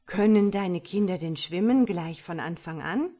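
A woman's voice: fairly low pitch, lively intonation, a little slow, warm tone. A woman doing a chirpy, know-it-all starling. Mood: breezy. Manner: breezy, lecturing, light. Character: cheerful, chatty, self-assured starling. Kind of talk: storybook character voice.

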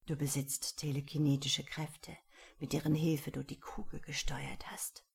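Woman voicing a hushed, domineering male seducer. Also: low voice, flat delivery, unhurried, gravelly, slightly rough, exaggerated voice. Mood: insistent, threatening. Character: commanding, possessive male seducer, menacing and self-assured. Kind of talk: romance audiobook character dialogue.

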